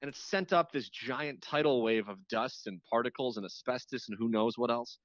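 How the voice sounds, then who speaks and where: A man with a mid-pitched voice talks casually as an interview guest, circling back to rephrase as he explains. The sound is dry and somewhat dull, like a muffled lo-fi connection. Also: moderate pace, a bit bright, no room sound. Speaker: radio show host appearing as guest.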